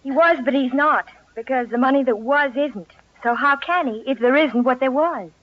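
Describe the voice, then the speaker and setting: A woman playing a placid, dryly witty housewife in an old-time radio comedy, speaking in a deadpan, unruffled way. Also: high voice, moderate pace, lightly acted. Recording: muffled vintage radio with faint noise.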